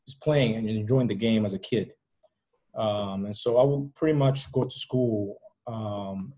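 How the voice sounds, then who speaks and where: A man from Rwanda with a fairly low voice speaks as a guest in a casual interview. He tells his story haltingly, repeating words and dropping in "you know" as he goes. It is recorded over a video call. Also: mellow. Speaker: podcast guest. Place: indoor room at home, video call.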